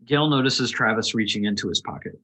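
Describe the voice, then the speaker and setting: A man's voice: on the low side, a little fast, pushed and bright. A man reading stage directions aloud as scripted narration, now and then stumbling over a word and correcting himself. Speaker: stage directions reader at a table read.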